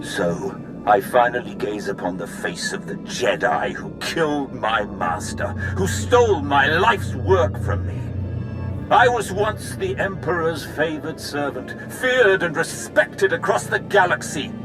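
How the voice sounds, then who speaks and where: An actor playing a bitter, menacing Sith villain in a video game, speaking formally and theatrically. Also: male voice, fairly high pitch, sing-song, measured pace, over music. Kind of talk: game cutscene acting.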